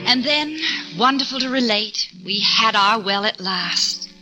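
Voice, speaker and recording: A woman's voice: fairly high pitch, lively intonation, a little slow, rough recording. An actress playing a wry wife narrating her family's misadventures in a 1940s radio play. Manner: wry, storytelling. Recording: old radio broadcast with music.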